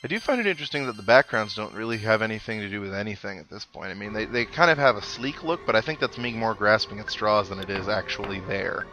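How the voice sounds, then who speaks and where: A man's voice: lowish voice, expressive, fast, hardly any pauses, low-quality recording. A man giving casual video game commentary as a let's play host, thinking aloud and reacting as he goes. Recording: loud game music behind. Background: video game music.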